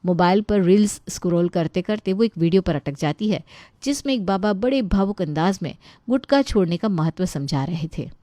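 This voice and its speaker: A woman's voice: fairly low pitch, expressive, moderate pace, warm tone, no room sound. A woman narrating a scripted Hindi story as the storyteller.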